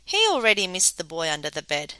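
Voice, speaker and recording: A woman reading a children's story aloud as an expressive storyteller. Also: on the low side, fairly quick, clean tone, no echo.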